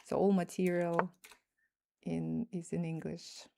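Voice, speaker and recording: A woman's voice: lowish voice, flat delivery, creaky, a little mellow. A woman with a Russian accent, a native Russian speaker, streaming chess and thinking aloud over her moves in casual commentary. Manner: thinks aloud while playing fast games.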